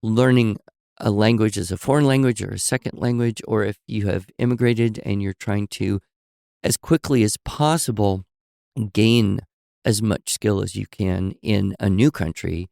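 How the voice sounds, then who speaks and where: A man co-hosting a podcast, telling stories in a relaxed conversational way and explaining with examples and asides. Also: lowish voice, normal speed, gravelly, no echo, clean studio sound. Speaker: podcast co-host. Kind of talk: conversational storytelling.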